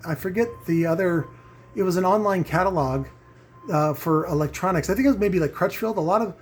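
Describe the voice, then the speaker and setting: A man's voice: high voice, fairly quick, slight background noise. A middle-aged man hosting a casual, opinionated review vlog, rambling and emphatic, repeating phrases for stress and checking in with his listeners. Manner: often checks in with "right?" and "okay?". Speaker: host of a home audio review vlog. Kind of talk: casual opinionated monologue to viewers.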